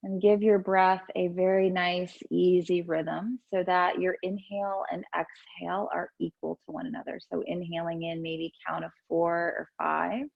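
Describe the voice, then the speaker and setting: A woman yoga teacher leading gentle breath work and movement in a soothing, unhurried way, with pauses between instructions. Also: on the low side, little pitch movement, vocal fry, muffled recording.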